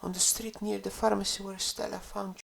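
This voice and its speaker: A woman's voice: mid-range voice, little pitch movement, moderate pace, creaky, fairly bright tone. A woman playing a concerned, protective young woman in an audio drama. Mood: worried, relieved.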